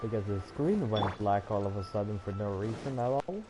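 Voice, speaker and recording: A man's voice: on the low side, animated, a little fast, rough recording. A man giving casual livestream commentary while he plays a game. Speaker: game streamer. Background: video game music.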